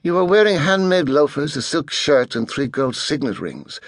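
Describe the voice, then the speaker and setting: A man voicing a pale, testy adolescent mastermind in a soft, clipped voice, speaking formally and with authority in an audiobook. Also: fairly high pitch, big pitch swings, normal speed, dry recording, studio quality, voice pushed up. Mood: haughty, testy. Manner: clipped, precise, formal speech.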